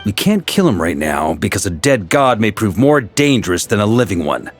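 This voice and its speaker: A man's voice: on the low side, sing-song, normal speed. A man playing a confident, seasoned knight commander in a video game, explaining things in an assured, theatrical way. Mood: assured, grave. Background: faint music.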